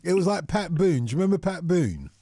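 A man's voice: high voice, big pitch swings, fast, a crowd in the background. A man hosting a late-night radio phone-in, chatty and joking with callers. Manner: jokey, chatty, chuckles often. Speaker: radio host. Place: radio studio.

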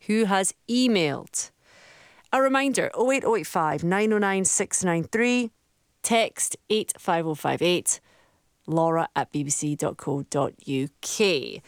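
A woman presenting a radio show, bubbly and quick to laugh, chatting with callers and reading news. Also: mid-range voice, clear, resonant tone, no room sound, clean studio sound. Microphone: studio microphone.

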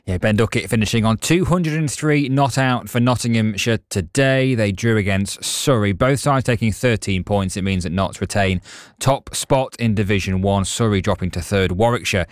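A man presenting a scripted sports news bulletin at a brisk pace. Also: on the low side, no room sound, studio quality.

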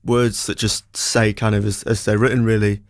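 A man's voice: lowish voice, flat delivery, normal speed, dry recording. Man explaining patiently in a radio studio. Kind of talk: casual radio chat.

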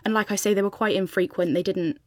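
A woman's voice: mid-range voice, steady tone, quick pace, dry recording, studio quality. A woman with a British accent tells her own story conversationally as an interview guest. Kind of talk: conversational personal account.

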